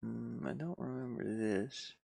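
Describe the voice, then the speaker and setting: A man's voice: mid-range voice, measured pace, creaky, mellow, no room sound. A man live streaming game design work, rambling and musing casually as he hesitantly thinks aloud.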